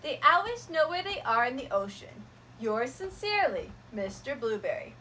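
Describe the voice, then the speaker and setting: A woman teacher reading a picture book aloud to her students, gentle and expressive. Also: sits high, normal speed, slight background noise.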